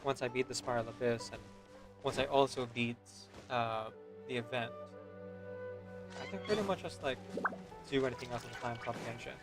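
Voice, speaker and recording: A man's voice: medium pitch, moderate pace, long pauses. A man doing casual gameplay commentary, musing to himself in a hesitant, trailing way. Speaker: streamer. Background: game music behind the voice.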